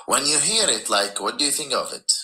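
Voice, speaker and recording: A man's voice: medium pitch, big pitch swings, fairly quick, bright, forceful tone, low-quality recording. A man speaking English as a non-native language, calling in to a live stream and talking earnestly and carefully. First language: not English. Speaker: caller. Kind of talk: live stream call-in talk.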